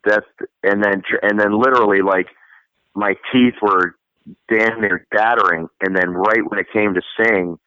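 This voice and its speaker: A man's voice: lowish voice, fairly even intonation, a little slow, bright, forceful tone, clear, resonant tone, no echo, dull audio. A man from Florida, a singer, answering interview questions in a loose, chatty way and laughing often as he talks. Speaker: guest singer.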